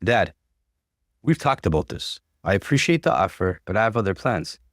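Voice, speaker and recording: A man's voice: on the low side, big pitch swings, moderate pace, no echo, clean studio sound. A man playing a frustrated, determined young student son in a story, earnest and firm.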